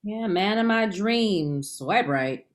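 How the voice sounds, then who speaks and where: A woman's voice: mid-range voice, lively intonation, slow. A woman playing a sassy, blunt, man-hungry best friend in a comedy table read, brassy and emphatic. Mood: gleefully picky.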